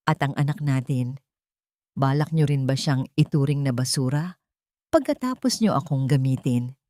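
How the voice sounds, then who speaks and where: A woman's voice: a bass voice, expressive, normal speed, dark tone, no echo, studio quality, voice pushed down. A woman with a Filipino accent voicing a betrayed pregnant young wife, weak, bitter and accusing, in an audio story. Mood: hurt, bitter.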